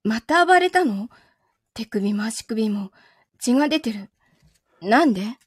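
High-voiced, menacing possessive girlfriend. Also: unhurried, breathy edge, no echo, clean studio sound. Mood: sweetly menacing. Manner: calm interrogating, softly taunting, sometimes whispering. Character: possessive, coolly menacing wronged girlfriend holding her lover captive. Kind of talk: Japanese audio drama acting.

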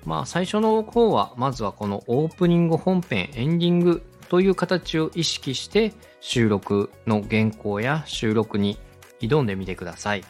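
A male host presents an instructional podcast with a polite, explanatory manner. His mid-pitched voice moves up and down in a lively way as he lays things out step by step. Faint music plays behind him. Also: moderate pace. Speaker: host, radio director teaching podcast production.

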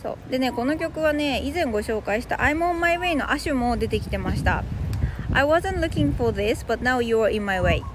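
A woman's voice: high-pitched, lively intonation, normal speed, background noise. A woman who is a native Japanese speaker, hosting a language lesson in a conversational, explanatory way that speaks straight to listeners. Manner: explanatory, addresses listeners directly. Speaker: host of an English phrase lesson podcast.

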